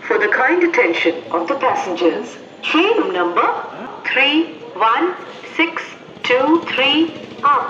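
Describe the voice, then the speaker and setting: A woman's voice: high-pitched, sing-song, very slow, distant mic, noisy background. A woman railway station announcer making scripted public announcements in a formal, stitched phrase-by-phrase delivery over station speakers. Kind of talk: scripted public train announcement, repeated in three languages. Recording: echoey station PA from afar, muffled, crowd.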